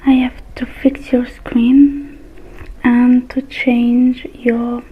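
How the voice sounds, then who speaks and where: A woman performs a soothing ASMR roleplay as a technician tending to the listener. She whispers slowly and evenly, close to the microphone, with faint noise behind her soft voice. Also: on the high side, completely flat delivery, narrow, tinny audio. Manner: soothing, personal attention addressed to the listener. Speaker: ASMR creator playing a robot repair technician.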